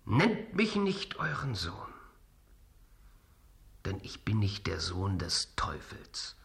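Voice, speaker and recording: An actor playing a proud, embattled, sardonic playwright in a radio drama, declamatory and biting. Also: male voice, on the low side, wildly swooping pitch, slow pace, vocal fry, fairly bright tone, heavily acted. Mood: bitter mockery. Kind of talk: theatrical radio drama acting.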